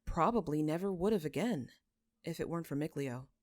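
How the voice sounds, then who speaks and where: Woman as a kind young man, soft, earnest, consoling, bashful shepherd, fantasy storybook. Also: sits low, lively intonation, brisk, no room sound, studio quality. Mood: soft, solemn. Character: gentle, bashful young male shepherd, compassionate healer.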